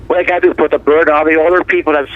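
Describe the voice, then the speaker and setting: An older man calling in to a radio show, rambling and halting as he complains. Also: on the high side, steady tone. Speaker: caller. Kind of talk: casual call-in chat. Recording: dull lo-fi phone call.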